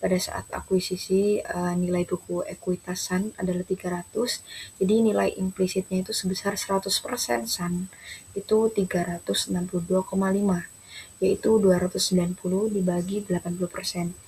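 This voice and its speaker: A woman's voice: fairly low pitch, little pitch movement, moderate pace, darkish tone, low-quality recording. A young adult woman, presenting as a student in a formal, structured way. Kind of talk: explaining an accounting case. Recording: clear background noise, room ambience.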